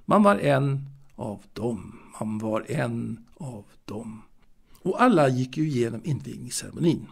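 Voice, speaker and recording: Man acting a prim, creaky-voiced waiter. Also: mid-range voice, animated. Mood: wistful, confiding. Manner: halting, repetitive, confiding, prim. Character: fastidious, proud male waiter and former seaman, reminiscing. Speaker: actor in a solo audio drama. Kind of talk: intimate dramatic monologue, told to a listener.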